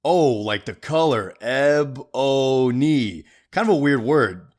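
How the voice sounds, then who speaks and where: A man's voice: medium pitch, lively intonation, takes it slowly. An actor playing a cocky, goofy best man in an audio drama, with a breezy, joking way of talking.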